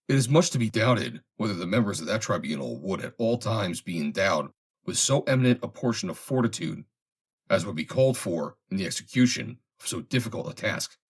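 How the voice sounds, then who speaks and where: A man reading a formal historical essay aloud in a measured, deliberate way. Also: lowish voice, breathy edge. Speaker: reader, narrator.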